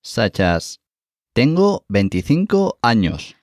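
Man, language teacher, clear modeled phrases, expressive pitch, dry close sound. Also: slow pace, no room sound. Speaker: Spanish teacher, co-host. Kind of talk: scripted language lesson.

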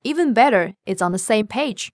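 A native Cantonese woman co-hosting a scripted language lesson, with a Cantonese accent, modelling phrases slowly and carefully. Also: fairly high pitch, animated, dry recording. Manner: careful slow model pronunciation. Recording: dry studio recording.

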